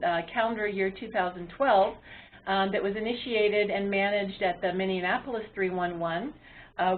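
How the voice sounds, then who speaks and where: A woman presenting a webinar as its moderator, explaining to an online audience in a halting delivery with frequent ums and uhs. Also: medium pitch. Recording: muffled, phone-like webinar audio line.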